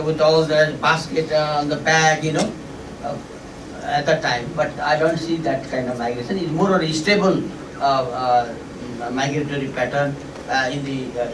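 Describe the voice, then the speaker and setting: A man from eastern Nepal with a Nepali accent, giving informal, halting explanatory remarks as a panel discussant. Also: a little high, steady tone. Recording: echoey room, distant mic, clear noise.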